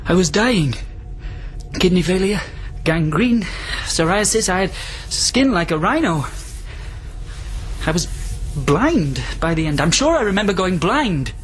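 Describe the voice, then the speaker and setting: An actor playing an angry, desperate astronaut commander held in quarantine, sarcastic and emotional, in a science fiction radio drama. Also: male voice, high voice, sing-song, measured pace. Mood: bewildered.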